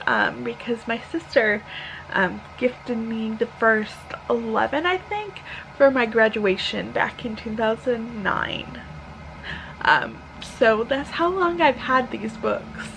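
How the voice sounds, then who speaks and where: A woman in her thirties giving a casual, rambling vlog update, halting and correcting herself, sounding tired and a little hoarse. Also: fairly high pitch, a bit bright, slight background noise, poor audio. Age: 30s. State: slightly hoarse, tired, sore throat. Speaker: book vlogger.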